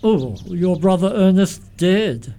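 A man's voice: sits high, animated, slow pace, mellow, heavily acted. A man playing a mild, pedantic clergyman, formal and courtly, in a theatrical radio comedy. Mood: solicitous. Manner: formal, pompous, solicitous.

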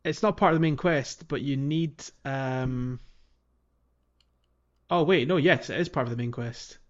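A man's voice: medium pitch, a little slow. A man with a mid-range voice talks casually as a podcast co-host about a video game. He feels his way through sentences, hesitant and thinking aloud. The sound is a little dull and lo-fi.

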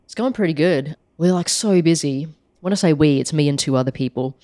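A woman with a croaky voice from being sick chats loosely and fills her sentences with "like". The sound is dry and close, with no room around it. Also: deep voice, a little fast, mellow, dry recording. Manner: chatty, many "like" fillers, laughs easily. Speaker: podcast co-host, app maker. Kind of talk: casual chat.

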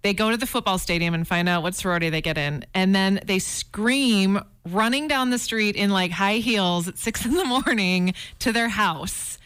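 A woman's voice: medium pitch, normal speed, few pauses, fairly bright tone, clean tone. A middle-aged woman in casual, humorous talk show chat, telling gossipy stories with emphatic exaggeration. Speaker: radio talk show regular.

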